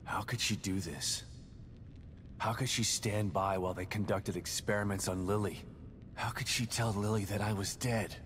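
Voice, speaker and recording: A man playing a gruff, weary, determined ex-detective in a survival horror game, speaking tersely and sardonically. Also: on the low side, steady tone, normal speed, a bit bright, slight background noise, lightly acted. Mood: bitter.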